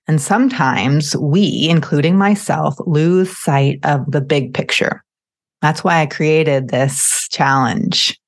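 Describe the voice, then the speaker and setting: A woman hosting a podcast, reading an intro and then interviewing a guest in an earnest, encouraging way. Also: sits low. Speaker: podcast host.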